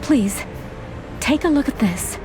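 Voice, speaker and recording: A poised young servant with secrets in a film-noir game. Her voice sits fairly high, and her manner is measured, coy and evasive. Music plays clearly underneath. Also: lively intonation. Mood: earnest.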